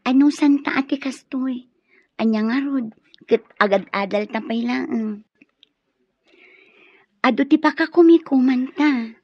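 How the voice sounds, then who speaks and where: High-voiced, wistful young female student, slow-spoken. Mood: worried. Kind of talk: radio drama acting in Ilocano. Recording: dull lo-fi sound, roomy echo.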